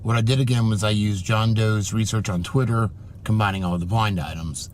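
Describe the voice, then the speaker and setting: A man narrating a researched commentary video in a measured, explanatory way. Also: fairly low pitch, fairly even intonation, a little hiss or hum. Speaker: YouTube commentator.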